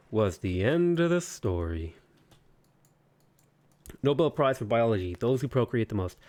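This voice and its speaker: Playful man in his twenties streaming. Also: mid-range voice. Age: 20s. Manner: playful, joking, reads chat aloud. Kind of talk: casual livestream commentary, reading chat.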